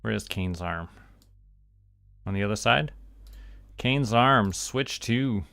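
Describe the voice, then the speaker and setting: A man's voice: lowish voice, slow, creaky, a bit bright, no room sound. A Canadian man doing casual gameplay commentary as a streamer, thinking aloud and talking himself through each step.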